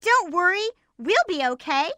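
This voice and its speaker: A woman's voice: very high-pitched, moderate pace, no room sound, clean studio sound, exaggerated voice. A woman playing a perky, determined young hedgehog heroine in a video game, leading her team energetically. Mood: upbeat. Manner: energetic, bossy team leader. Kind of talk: video game character voice lines.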